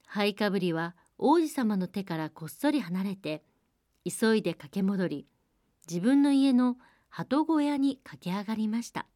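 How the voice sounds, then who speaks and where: A woman narrates in the manner of a radio fairy-tale programme. Her mid-pitched voice is measured and polite. The sound is dry, with almost no room around it. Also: warm tone, no room sound. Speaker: storyteller and programme co-host.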